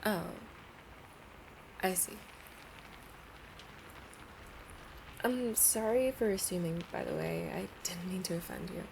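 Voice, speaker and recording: A woman's voice: on the low side, moderate pace, creaky, darkish tone, a little hiss or hum, low-quality recording. A woman playing a playful, pleading young alien princess at college in an ASMR roleplay, chatting and coaxing the listener directly. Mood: apologetic, earnest.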